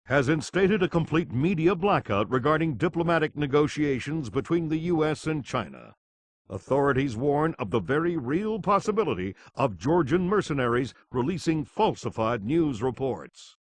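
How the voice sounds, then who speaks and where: An actor playing an earnest television news anchor, formally delivering a scripted crisis report in a game. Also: male voice, on the high side, very animated, moderate pace, dry recording. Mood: grave, urgent. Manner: formal, grave.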